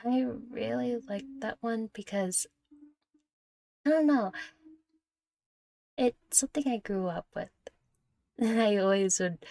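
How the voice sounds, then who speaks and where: A woman's voice: lowish voice, vocal fry, darkish tone, no echo. A young adult woman chatting casually through viewer questions in a rambling, giggly, self-deprecating way, close to the microphone. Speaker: content creator answering viewer questions. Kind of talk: casual Q&A chat.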